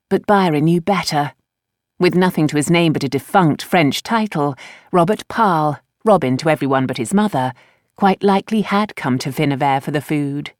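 A woman reading fiction as an audiobook narrator, with expressive, polished storytelling. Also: sits low, no echo, clean studio sound.

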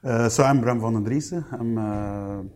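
A man in his thirties with a Belgian accent speaks as an interview guest. His voice is mellow and relaxed, at an average male pitch, and he pauses often as he puts his answers together. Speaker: interview guest, company general manager. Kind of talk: conversational podcast interview.

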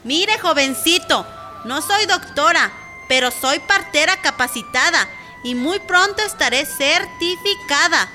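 A woman's voice: high voice, lively intonation, a little slow, bright, forceful tone, soft background music, exaggerated voice. A woman playing a feisty, outspoken village midwife in a radio soap, with a Mexican accent and an indignant, scolding manner. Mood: firm, scolding. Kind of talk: radio soap opera acting.

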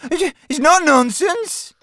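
A woman plays an indignant little boy dog in a children's audiobook. The pitch sits very high and leaps about with huffy, melodramatic pride, in a dry, close sound. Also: expressive, slow, a bit bright, no room sound, heavily acted. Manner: spluttering, huffy, melodramatic protests. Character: indignant little male pet dog, proud, easily wounded.

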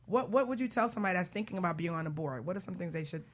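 A woman's voice: on the low side, animated, racing, a little hiss or hum. A woman hosting a talk show, asking simple clarifying questions in a casual, conversational way.

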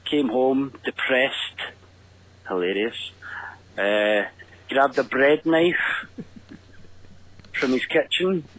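Slow, profane Scottish man. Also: medium pitch, fairly bright tone, no room sound, rough recording. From Scotland. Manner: gruff, profane, deliberately slow. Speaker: caller leaving a voice message. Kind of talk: casual anecdote telling.

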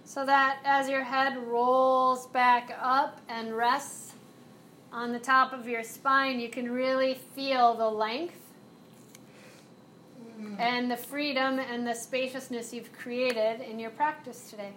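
Slow, soothing woman yoga teacher. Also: high-pitched, little pitch movement, a bit bright, a little hiss or hum. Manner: slow, soothing cueing with breath prompts. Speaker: yoga and mindfulness instructor. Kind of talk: guided chair yoga instruction. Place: classroom.

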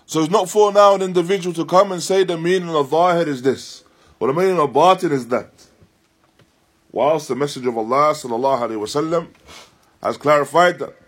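Slightly husky man giving a religious lesson. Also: on the high side, brisk. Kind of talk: Islamic lecture explaining a text, with Arabic recitation. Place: live-streamed lesson at an Islamic centre.

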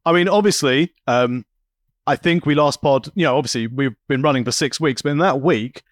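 A man's voice: medium pitch, brisk, no room sound, studio quality. A man co-hosting a podcast, chatting casually and acting out stories with quoted voices. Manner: animated storyteller, acts out quoted voices. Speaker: podcast co-host. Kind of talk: casual chat.

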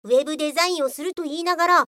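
A woman playing a sharp-tongued, exasperated police officer in a comedic radio drama, scolding and switching between polite and rude. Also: high voice, rapid-fire, no room sound, clean studio sound. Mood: indignant.

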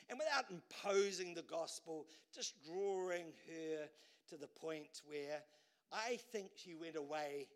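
A male preacher with a high, bright, ringing voice delivers an animated sermon. He swings from loud, shouted bursts to quiet, low asides, often repeating words as he drives home a point. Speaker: pastor.

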